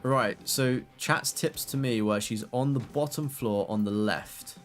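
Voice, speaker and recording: A man's voice: medium pitch, measured pace, faint music behind. A young man chatting casually on a game live stream, rambling with fillers and casual swearing.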